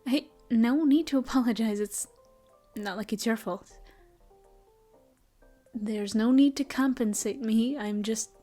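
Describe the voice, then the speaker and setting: A kind, shy female elf ranger tends to someone in her woodland cabin, her voice slightly high and soft, slipping often into a whisper, as a woman acts her out. Faint music sits behind her words in this fantasy audio drama. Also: unhurried, pauses often. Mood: tender. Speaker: voice actress. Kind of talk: audio roleplay, comfort ASMR.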